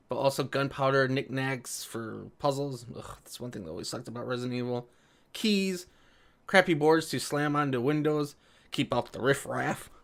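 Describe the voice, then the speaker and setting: Casting: sarcastic online commentator, mid-range voice, informal read-and-react delivery. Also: medium pitch. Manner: sarcastic, mocking. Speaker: video commentator. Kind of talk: reading an article aloud with reaction commentary.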